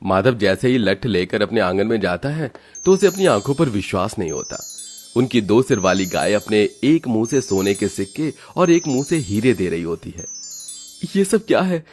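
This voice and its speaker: A man's voice: lowish voice, big pitch swings, normal speed, darkish tone, soft background music. A man giving scripted narration for a cartoon story, telling it steadily and evenly.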